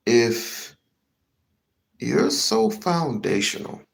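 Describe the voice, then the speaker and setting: A man's voice: mid-range voice, very animated, extremely slow, vocal fry. A man hosting a heated commentary rant, slangy and swearing, repeating himself for emphasis. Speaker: commentary show host.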